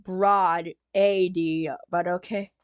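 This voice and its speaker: A woman's voice: fairly low pitch, steady tone, takes it slowly, heavy background noise. A woman streamer chatting casually as she reacts to videos, with asides to her pet cat.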